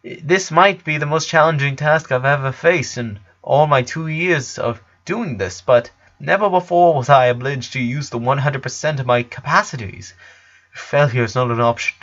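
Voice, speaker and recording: Guy doing a serious little genius girl for a storybook, clinical and wordy, pitched up a bit, muffled lo-fi audio. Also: moderate pace, a bit bright, close to an everyday voice. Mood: grave, determined. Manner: formal, precise, wordy. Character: four-year-old genius girl, serious, stubborn, clinical.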